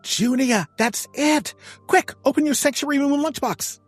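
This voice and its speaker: A woman's voice: high voice, unhurried, soft background music. A woman playing a pompous, arch circus performer on an adventure, speaking grandly in an audio drama. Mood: excited, urgent. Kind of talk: audio drama character acting.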